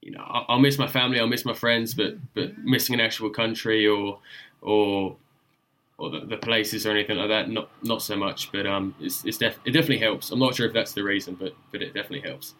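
A man's voice: fairly low pitch, fairly even intonation, a little fast, a bit bright. A young man guest explorer telling stories in a casual interview, hesitant with frequent ums and repeated words. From born in UK, raised in Germany and Australia. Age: young adult.

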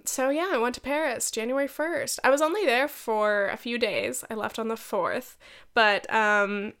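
High, nasal young American woman, congested. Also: fairly bright tone, clean tone. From United States. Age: 20s. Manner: slangy, sweary, self-mocking. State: getting over a head cold. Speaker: podcast host. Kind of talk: casual chatty solo podcast storytelling.

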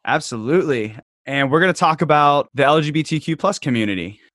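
A man's voice: on the high side, expressive, a little fast, fairly bright tone, no echo, studio quality. A man in his late twenties from Virginia, hosting a podcast with chatty, joking storytelling. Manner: chatty, jokey storytelling with lots of "like". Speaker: podcast host. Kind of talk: casual podcast chat.

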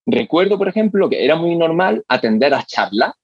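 A man's voice: on the high side, big pitch swings, fairly quick, slightly rough, no echo, lo-fi sound. A man explaining how attention and hearing work in an interview, thoughtful, often restarting and repeating words as he goes. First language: Spanish. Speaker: hearing research guest.

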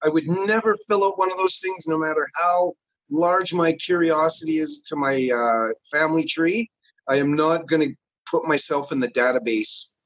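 Husky, joking man on a podcast. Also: fairly high pitch, moderate pace, dry recording, poor audio. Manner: joking, rambling, animated. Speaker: podcast guest, wrestling commentator. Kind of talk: casual chat.

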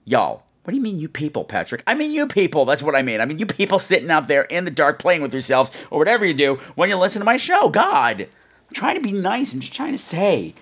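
A man's voice: a little high, fairly bright tone, breathy edge. A man hosting a podcast in a casual, rambling, wry way, joking at his own expense and swearing mildly. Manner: chatty, self-deprecating, wry, uses mild swearing. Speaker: podcast host. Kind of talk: casual, rambling chat to listeners.

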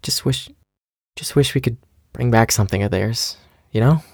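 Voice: medium pitch, normal speed, gravelly, husky, dry recording, clean studio sound. An actor playing an anxious, eager young male survivor in an audio drama. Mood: uneasy.

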